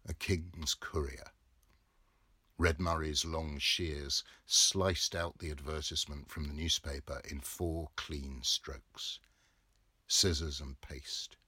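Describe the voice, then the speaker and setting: Very deep-voiced Englishman reading literature slowly. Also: steady tone, bright, forceful tone, breathy edge, no echo. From England. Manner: measured, deliberate phrasing. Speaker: audiobook reader. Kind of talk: literary narration.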